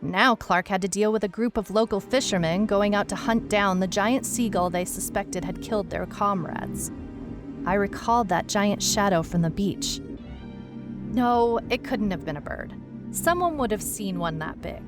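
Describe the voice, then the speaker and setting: A woman's voice: mid-range voice, moderate pace, music in the background, lightly acted. An actress playing a wry, skeptical private detective, narrating her mystery story in a dry, musing first-person way. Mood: puzzled, uneasy. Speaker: narrator and lead character of an audio mystery serial.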